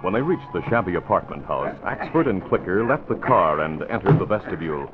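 A man narrating an old-time radio crime serial in a measured, dramatic style. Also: low voice, sing-song, over music. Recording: tinny, noisy vintage radio recording.

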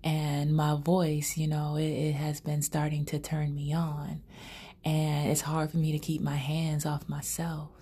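A woman's voice: deep voice, monotone, a little slow, mellow, breathy edge. A woman hosting her own podcast, improvising erotic storytelling in a sultry, half-whispered, intimate way. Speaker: podcast host.

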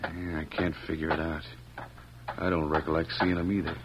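Jailed outlaw for radio western: very low voice, rustic drawl, watchful and scheming, heavy noise. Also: male voice, level tone, moderate pace. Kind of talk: old-time radio western acting. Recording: muffled, very noisy vintage radio.

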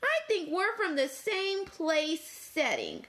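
A woman doing a chatty, high talking fork. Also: wildly swooping pitch, slow pace, a bit bright, exaggerated voice. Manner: rambling, chatty.

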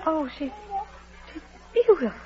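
A woman's voice: sits high, animated, normal speed. A woman playing a tender, yearning young wife in a 1940s American radio drama, hesitant and earnest. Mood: overjoyed. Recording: muffled old radio broadcast recording.

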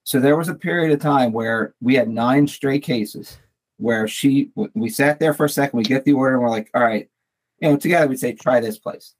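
Husky-voiced man telling a funny story. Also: medium pitch, brisk, poor audio. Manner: amused storyteller, lots of like and you know. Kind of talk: casual conversation.

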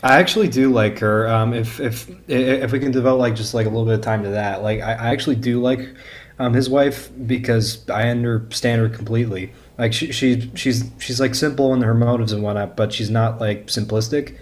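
A man's voice: mid-range voice, fairly even intonation, brisk. A man as a podcast guest, discussing earnestly and casually, often stammering and restarting his phrases.

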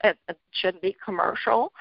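A middle-aged woman from Bulgaria, a children's author being interviewed, talking in a hesitant way with many ums and soft laughs. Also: mid-range voice, moderate pace, gravelly, no room sound. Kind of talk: casual interview talk. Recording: dull lo-fi audio.

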